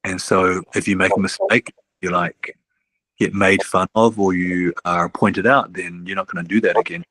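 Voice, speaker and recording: Low-voiced New coach, rambling. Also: male voice, fairly even intonation, moderate pace, a bit bright, no echo, rough recording. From New Zealand. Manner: rambling, restarts often, ends with "right?". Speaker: basketball coach. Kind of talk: casual interview chat.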